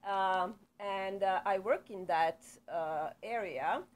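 A middle-aged woman from Serbia with a Serbian accent, a psychotherapist guest speaking in a casual interview, unhurried and full of small hesitations, recorded in a small indoor studio. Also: mid-range voice. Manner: unhurried, frequent hesitations, light laughs.

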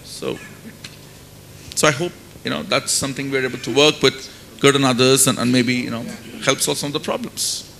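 A middle-aged man with an Indian accent makes his case on a panel in a sharp, forceful voice of medium pitch. He is emphatic and likes rhetorical questions. Faint noise and a low rumble sit behind him. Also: fairly even intonation, normal speed, gravelly. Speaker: panelist, finance academic. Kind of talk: panel discussion remarks. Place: conference stage indoors. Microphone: handheld microphone.

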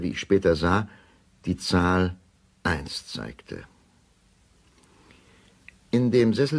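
An actor playing a haunted witness who recounts events in a sombre, precise, formal manner in a radio play. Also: male voice, fairly low pitch, slightly rough, exaggerated voice. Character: grave male narrator of a written account, haunted. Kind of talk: radio play scripted narration.

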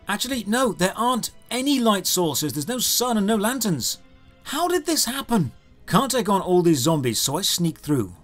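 A man narrates a comedic game review in a high, swooping voice. His delivery is sarcastic and exasperated, clearly scripted for a voice-over, with music playing behind him.

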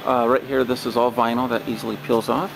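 A man walking through a large warehouse with a handheld camera, describing a used trade show booth for sale in a plain, unhurried way with many pauses. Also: mid-range voice, little pitch movement, vocal fry, music in the background. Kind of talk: walkthrough tour. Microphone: handheld camera held by the speaker. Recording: noisy warehouse camera audio.

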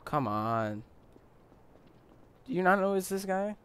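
Chatty gamer man, lively pitch. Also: slow, a little hiss or hum. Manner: chatty, laughs at his own plays. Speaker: gamer playing online. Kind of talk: casual game chat. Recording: game music behind.

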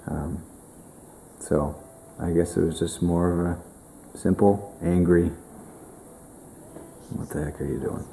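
A man in his twenties telling a personal story in a halting, emotional way, with many restarts, in a living room. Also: low-pitched, steady tone, creaky, mellow, a little hiss or hum, rough recording. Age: 20s. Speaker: grieving brother. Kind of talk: personal testimony.

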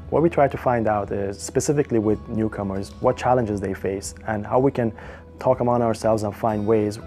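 Young man with a gravelly low voice. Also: darkish tone. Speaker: advisory board member. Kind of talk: interview to camera. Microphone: lapel mic.

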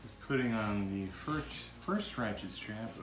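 Low-voiced man in an echoey garage. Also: animated, a little slow. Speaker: vlogger demonstrating a DIY setup. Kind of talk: casual how-to narration. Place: indoors in a cluttered home garage. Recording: echoey garage, faint music.

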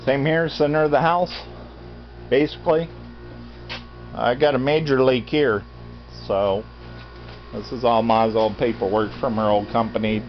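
A man's voice: mid-range voice, fairly even intonation, measured pace, long pauses. Middle-aged American man, casual rambling vlog, matter-of-fact, muffled lo-fi sound, prominent music behind. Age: 50s. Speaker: vlogger, farm resident. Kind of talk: casual handheld walk-through commentary. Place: indoors, cluttered concrete basement. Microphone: handheld camera at arm's length. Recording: dull lo-fi camera audio, loud background sound. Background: loud continuous radio playing.

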